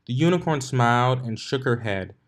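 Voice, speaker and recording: A man narrates a story in an amateur read-aloud style. His pitch sits in the middle, and he now and then trips on a word and picks it up again. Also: animated, normal speed. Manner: occasionally stumbles over written words. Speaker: fanfiction narrator.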